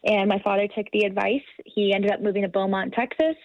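A woman's voice: mid-range voice, steady tone, a little fast, no room sound, muffled recording. A woman, American-born, speaking as a guest in a podcast interview in an earnest, articulate and motivational way. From United States.

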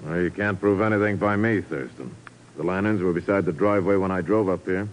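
A defensive male suspect played by an actor in a 1950s radio mystery. He talks fast in a deep voice, curt and challenging, with faint noise on the old recording. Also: close to an everyday voice, poor audio. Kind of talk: 1950s radio drama acting.

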